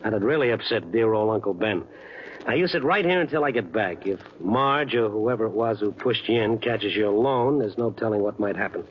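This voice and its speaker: An American actor playing a wisecracking, protective newspaper reporter in old radio drama, wry, slangy and world-weary. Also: male voice, on the low side, lively intonation, fairly quick, a little hiss or hum, lightly acted. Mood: teasing, warning. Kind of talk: 1940s-50s radio drama acting and narration. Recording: dull old radio recording, loud music.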